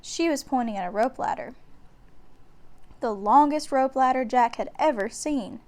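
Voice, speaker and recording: A woman reading a children's bedtime story aloud in a deliberate, expressive way. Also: sits high. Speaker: storybook reader.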